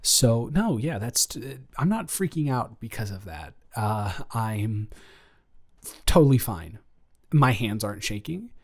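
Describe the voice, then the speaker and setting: A man's voice: fairly low pitch, animated, measured pace. A man playing a nervous, lovestruck young man confessing to his best friend in an improvised romantic audio roleplay, stammering and rambling as he goes. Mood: flustered, defensive.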